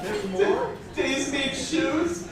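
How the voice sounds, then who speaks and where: A man's voice: very high-pitched, animated, drawn-out words, creaky. A man performing a comic, theatrical live reading with mocking, incredulous asides, recorded indoors at an event. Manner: breaks off into incredulous, sweary asides. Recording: echoey, noisy live room, far from mic. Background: audience crowd noise.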